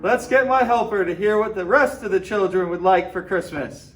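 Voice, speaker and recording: An actor playing a jolly, booming department store Santa Claus in an old-time radio advertisement. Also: male voice, normal speed, distant mic, natural voice. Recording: echoey, off-mic stage, music behind.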